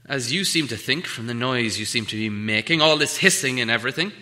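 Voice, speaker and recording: A man's voice: medium pitch, expressive, fairly quick, pushed and bright. A man voicing a small, polite but impatient storybook adventurer who speaks in an earnest, emphatic way. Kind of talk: storybook character voice.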